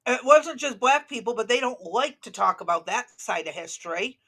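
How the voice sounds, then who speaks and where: A woman's voice: fairly high pitch, moderate pace, fairly bright tone. A woman hosting a casual political commentary show, speaking in a blunt, combative, profane way. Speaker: talk show host.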